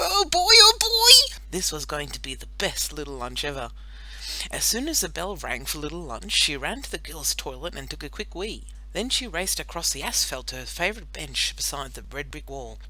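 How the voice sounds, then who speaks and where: A woman narrates a story for children. Her voice is very bright and crisp-edged, and her pitch moves around in a lively way. The delivery is playful and dramatic. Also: poor audio. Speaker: audiobook narrator.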